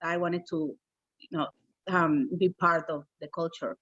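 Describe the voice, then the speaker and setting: A woman's voice: lowish voice, fairly even intonation, measured pace, a bit bright, dry recording, lo-fi sound. A middle-aged woman from Peru, a native Spanish speaker with a Peruvian Spanish accent, introducing herself conversationally as a guest author.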